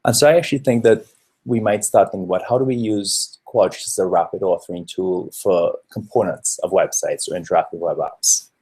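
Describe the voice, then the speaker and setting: Deep husky-voiced man from Trinidad presenting. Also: fairly quick, a little mellow. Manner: earnest, explanatory, with frequent fillers. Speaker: researcher presenting a talk. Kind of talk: conference presentation.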